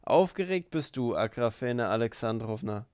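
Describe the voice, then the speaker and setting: A man performs a sneering young drinker in a storybook reading, spiteful and boastful. He needles loudly in a medium-pitched voice, recorded close and dry. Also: lively intonation, moderate pace, clean tone, dry recording, lightly acted. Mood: irritated, loud.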